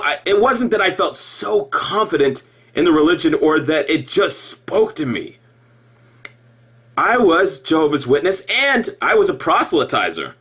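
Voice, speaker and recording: A man giving an impassioned personal monologue to viewers, halting and repeating himself, with emphatic stresses. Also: high-pitched, fairly bright tone, slightly rough. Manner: halting, repetitive, with emphatic outbursts. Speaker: former Jehovah's Witness video blogger.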